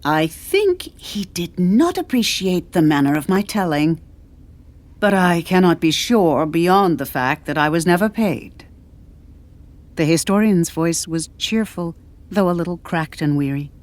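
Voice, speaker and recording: A woman narrates a story in a fairly low voice. Her delivery is very slow and deliberate, with the careful phrasing of a scripted literary reading. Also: a little mellow. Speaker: audiobook narrator.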